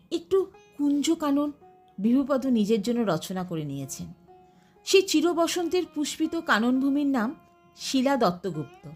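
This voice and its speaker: A woman reading a literary story aloud as an expressive audiobook narrator. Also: high-pitched.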